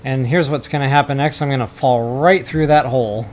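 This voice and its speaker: A man's voice: mid-range voice, fairly even intonation, a little fast, a little hiss or hum. A man hosting a video game building tutorial, informal and mildly self-deprecating as he thinks aloud. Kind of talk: casual screen-recorded game building tutorial. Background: music.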